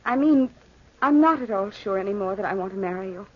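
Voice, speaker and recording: A woman's voice: medium pitch, lively intonation, a little fast, close to an everyday voice. An actress playing an outspoken, impatient young woman in a 1940s radio drama, with an emphatic, reproachful delivery. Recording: dull vintage radio drama recording.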